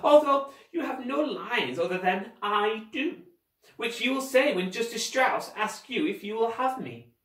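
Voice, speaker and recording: A sinister storybook count, acted out by a man, speaks with false courtesy and theatrical menace. The voice is high and oily, commanding underneath, and sounds roomy. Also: expressive, measured pace, a bit bright, far from the mic, full character voice. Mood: falsely coaxing. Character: sinister, controlling older villain, falsely polite count.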